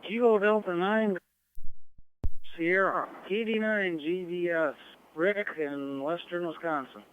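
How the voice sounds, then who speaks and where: A man's voice: high-pitched, steady tone, slow pace, a little hiss or hum. A man casually and hesitantly checking in over amateur radio. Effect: amateur radio transmission. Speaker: ham radio net participant. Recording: tinny phone-like radio link.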